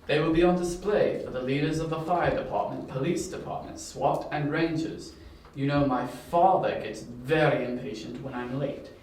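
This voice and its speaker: A distant, heavily echoing voice of a man acting as a formal informant in an amateur audio drama. He is somewhat high in pitch, measured and evasive. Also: darkish tone, lightly acted. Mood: guarded. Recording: distant, very echoey room.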